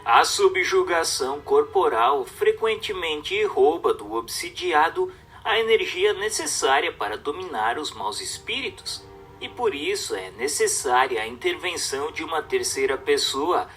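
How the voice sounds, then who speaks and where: A man with a Brazilian accent reading a religious treatise aloud as a narrator, in a formal, measured, didactic way. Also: on the high side, big pitch swings, a bit bright, soft background music.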